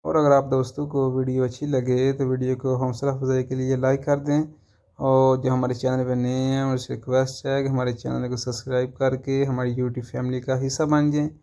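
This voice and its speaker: A man hosting a channel and signing off a video. His tone is warm and dark. He speaks evenly, with little variation, as he politely asks viewers for support. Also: mid-range voice, completely flat delivery, normal speed. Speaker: channel host. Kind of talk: video outro.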